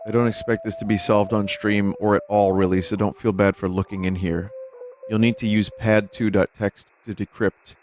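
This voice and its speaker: A low-voiced man talks casually to his stream viewers as he listens to recordings. His delivery is wry and joking, and music plays clearly behind his voice. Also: level tone, normal speed, a little mellow. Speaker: streamer hosting a listening session. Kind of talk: casual live commentary, chatting with viewers. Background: radio recordings and music.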